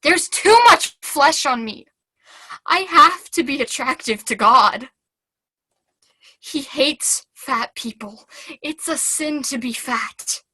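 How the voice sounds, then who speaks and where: A young woman acting a fragile, devout and troubled young nun in a halting, childlike stage monologue. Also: sits high, bright, forceful tone, no room sound, full character voice. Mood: anguished. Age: teens. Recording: video call.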